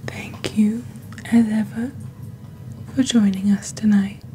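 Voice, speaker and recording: Slow, whispery young woman, soft ASMR. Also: mid-range voice, fairly even intonation, soft background music. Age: young adult. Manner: slow, deliberate, eerily formal. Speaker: host of a mock witch's shopping channel. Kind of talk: soft-spoken ASMR roleplay, scripted. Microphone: close studio microphone on desk.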